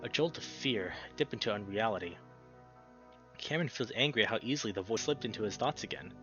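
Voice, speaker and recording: A man's voice: lowish voice, lively intonation, fast, highs cut off, over music. A man reading a visual novel aloud as a dramatic narrator.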